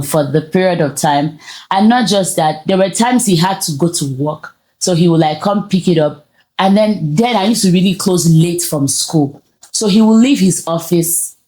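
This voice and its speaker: A woman with a Nigerian accent hosting a casual conversation, speaking earnestly with halting repeats. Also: fairly low pitch, warm tone. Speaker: host of a talk show.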